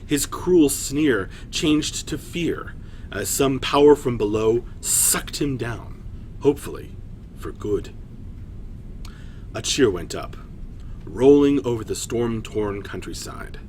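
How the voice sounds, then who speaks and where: Very slow, dramatic male storyteller. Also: medium pitch, animated, a little mellow, a little hiss or hum. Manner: dramatic, deliberate pauses. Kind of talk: scripted fantasy story narration.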